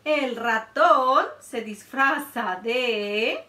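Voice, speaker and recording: A woman in her thirties reading a picture book aloud to children in a playful, expressive way, in a small room. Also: fairly high pitch, extremely slow, reverberant, distant mic. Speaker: children's librarian. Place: small room indoors, before a board.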